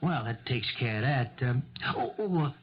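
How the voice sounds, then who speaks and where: An actor playing a polite, halting male police detective in a 1970s radio mystery, talking in a casual official way. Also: medium pitch, big pitch swings, breathy edge. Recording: dull old radio broadcast recording.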